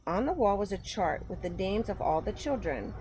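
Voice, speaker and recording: A woman reading a picture book aloud to young children in an expressive, simple storytelling manner. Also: medium pitch, normal speed, a little hiss or hum, low-quality recording. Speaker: children's storytime host, gymnastics coach.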